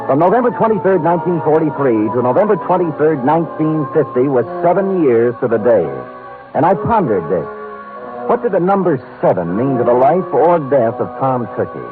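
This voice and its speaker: An actor playing a wry, unflappable, hard-boiled private detective, acting and narrating in 1950s radio style. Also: male voice, fairly high pitch. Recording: narrow-band old radio broadcast.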